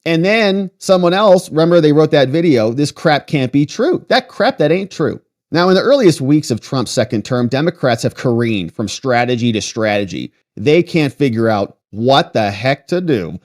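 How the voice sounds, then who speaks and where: An American man hosts a political commentary stream. His mid-range voice swings widely in pitch as he reads articles aloud. He keeps cutting in with mocking, sarcastic asides. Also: animated, normal speed, a little mellow.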